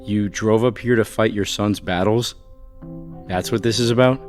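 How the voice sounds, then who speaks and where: A man's voice: lowish voice, steady tone, moderate pace, a little mellow, faint music behind, close to an everyday voice. A man playing a defiant, guarded adult heir in an audio soap opera, curt and dismissive. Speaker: actor.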